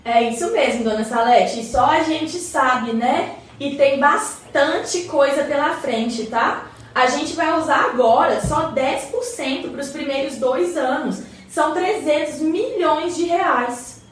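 A woman's voice: high-pitched, slow. A woman with a Brazilian accent acting a patient, knowledgeable community member in an educational radio play, explaining in a colloquial way. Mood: explaining, encouraging. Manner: didactic, colloquial. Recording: roomy echo, distant mic, faint noise.

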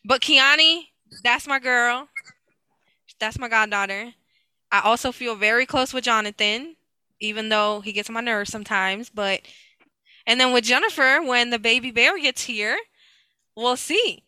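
Bright-voiced woman in her thirties. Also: on the high side, unhurried, clear, resonant tone, dry recording. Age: 30s. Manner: hesitant with fillers, laughs often. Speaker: host asking family questions. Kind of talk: casual family chat. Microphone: video call.

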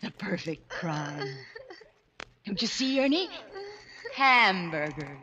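A woman's voice: lowish voice, very animated, slow pace, a crowd in the background. An actress playing a scheming, domineering mistress who urges her lover to murder, theatrical and commanding in 1940s radio mystery style. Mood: feverish, plotting. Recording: muffled old radio recording, long reverb, faint noise.

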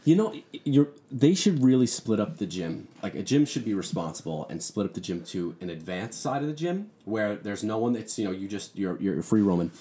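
A low-pitched man talking fast as a podcast guest, his voice rising and falling a lot. He jokes freely and keeps things playful and loose. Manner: joking, animated, bursts into loud teasing impressions. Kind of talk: casual podcast chat.

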